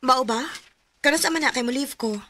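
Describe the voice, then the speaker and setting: A woman's voice: sits high, brisk, sharp tone. An actress with a Cebuano Filipino accent playing an affectionate, playful young married woman in a radio drama. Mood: warm, wistful.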